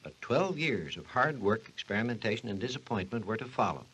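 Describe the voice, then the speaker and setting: A man's voice: fairly low pitch, big pitch swings, brisk, a bit bright, breathy edge, full character voice, low-quality recording. A man playing a learned doctor who narrates and explains in formal, instructive 1940s radio style. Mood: authoritative, instructive. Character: authoritative male doctor explaining history and science. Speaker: narrator and expert.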